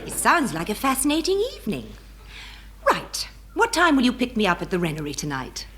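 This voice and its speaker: A woman's voice: on the high side, wildly swooping pitch, a little fast, a bit bright. An actress playing a keen, chirpy young woman on a date in a radio sitcom. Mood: eager. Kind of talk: British radio sitcom acting.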